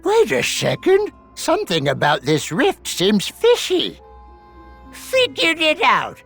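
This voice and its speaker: A woman's voice: high-pitched, huge pitch swings, takes it slowly, vocal fry, a bit bright, faint music behind, full character voice. A woman playing a serious, suspicious guardian in a video game cutscene. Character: serious, suspicious guardian investigating strange happenings. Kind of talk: animated game cutscene acting.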